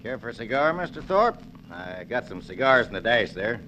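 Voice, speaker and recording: A man's voice: mid-range voice, very animated, normal speed, lo-fi sound, over music, natural voice. An actor playing a folksy, persuasive male real estate salesman in a 1940s radio drama.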